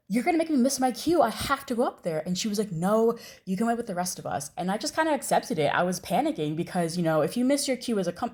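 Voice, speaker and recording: A woman's voice: lowish voice, darkish tone. A young adult American woman telling a personal story in a casual, chatty way. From United States. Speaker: storyteller, video creator.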